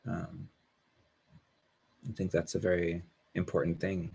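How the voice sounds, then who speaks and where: A man moderating an alumni panel, measured and thoughtful, with a husky voice. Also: sits low, level tone, warm tone. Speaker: moderator, professor.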